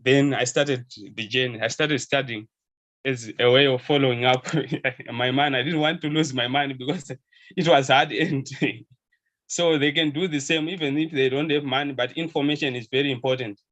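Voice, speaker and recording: An earnest young man from Zimbabwe talks on a video call with a mid-range voice. He speaks hesitantly, restarting his words and laughing softly now and then. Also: mid-range voice, steady tone, moderate pace. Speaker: webinar attendee, pharmacy intern. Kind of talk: casual video call conversation.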